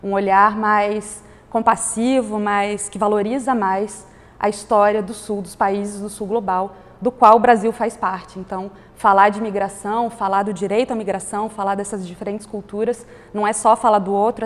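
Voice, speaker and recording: A woman's voice: medium pitch, steady tone, moderate pace. A woman, explaining her organization's work as a guest on a panel talk. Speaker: guest, director of a cultural organization. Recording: hall reverb.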